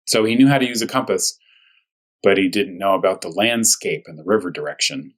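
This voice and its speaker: A man speaking as a first grade teacher at storytime, talking to young children in simple, short, repeated phrases, with a husky voice. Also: deep voice, fairly quick. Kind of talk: storytime read-aloud and discussion for young children.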